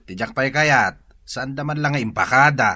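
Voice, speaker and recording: Gruff, sharp-voiced rural Ilocano family man. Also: medium pitch, moderate pace. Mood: reproachful. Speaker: radio drama actor. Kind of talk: Ilocano radio drama acting.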